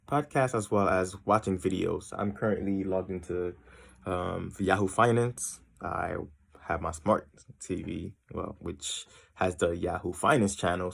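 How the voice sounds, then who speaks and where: A man's voice: low voice, creaky, a bit bright, husky. A young man from Haiti giving a casual self-introduction to a class, rambling off on tangents with many ums and uhs. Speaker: student introducing himself to a class.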